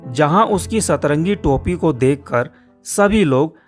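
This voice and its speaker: A man's voice: on the high side, animated, slow pace. A man narrating a children's cartoon story in a scripted storybook style. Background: soft guitar music.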